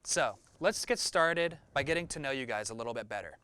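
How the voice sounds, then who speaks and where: A man's voice: mid-range voice, animated, fairly quick, sharp tone, clean tone, no room sound. A young man hosting a student-made interview, reading friendly, scripted questions. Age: young adult. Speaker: host.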